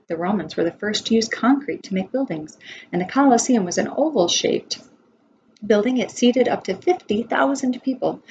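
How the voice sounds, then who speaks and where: A woman's voice: mid-range voice, a little mellow. American woman reading aloud, dull lo-fi sound. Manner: instructive, asks listeners questions. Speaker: preschool teacher. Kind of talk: read-aloud of a children's nonfiction book with asides. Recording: dull lo-fi webcam sound, background noise.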